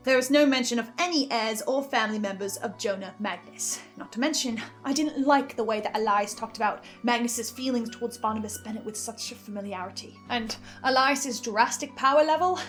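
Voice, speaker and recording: A woman with a fairly high voice delivers theory commentary over music. She speaks in a theatrical, emphatic way, as if presenting a case to viewers. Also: fairly quick, hardly any pauses, fairly bright tone. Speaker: podcast listener sharing theories. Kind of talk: semi-scripted theory commentary to camera.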